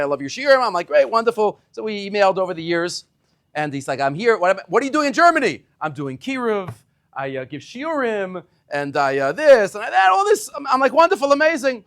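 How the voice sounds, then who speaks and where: A middle-aged man, a rabbi giving an animated yeshiva talk, acting out dialogue and mixing Hebrew terms into English. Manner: animated, acts out dialogue with big pitch swings. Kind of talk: animated Torah lecture to students. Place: yeshiva classroom.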